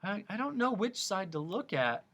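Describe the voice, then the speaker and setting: Man playing a young boy, polite, puzzled, soft-spoken, higher voice, storybook. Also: sing-song, normal speed, exaggerated voice.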